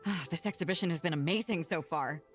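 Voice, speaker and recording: A woman's voice: on the low side, fast talker, soft background music, lightly acted. A woman voicing a hearty, confident ship captain in a video game, talking in a forthright, casual way. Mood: impressed, friendly. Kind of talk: scripted video game dialogue.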